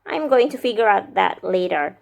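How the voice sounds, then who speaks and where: A woman's voice: medium pitch, lively intonation, vocal fry, a little mellow. A woman in her thirties with an Indonesian accent, a vlogger musing aloud about learning a language, recorded at arm's length in a small room. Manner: slow, thoughtful, musing aloud with hesitations. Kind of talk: casual language-learning vlog. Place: small indoor room with lamps behind. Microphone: camera or phone at arm's length.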